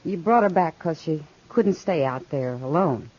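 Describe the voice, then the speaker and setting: A woman's voice: sits low, expressive, a little slow, a little mellow. A woman playing a worldly, concerned frontier woman with an American accent, earnest and confiding, in 1950s radio western style. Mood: troubled. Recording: dull vintage radio recording.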